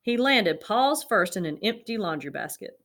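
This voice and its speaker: A woman narrating a children's picture book aloud in an expressive storytelling manner. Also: medium pitch, moderate pace, slight background noise. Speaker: storybook reader.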